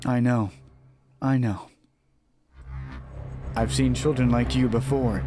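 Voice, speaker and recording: A man's voice: mid-range voice, fairly even intonation, slow. A man reading a horror visual novel aloud as a let's player, often restarting and repeating phrases as he narrates. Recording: music behind the voice. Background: game music.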